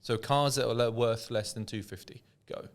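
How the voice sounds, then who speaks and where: A man's voice: medium pitch, fairly even intonation, normal speed. A man hosting a casual podcast chat, steering the talk to the next topic. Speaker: podcast host.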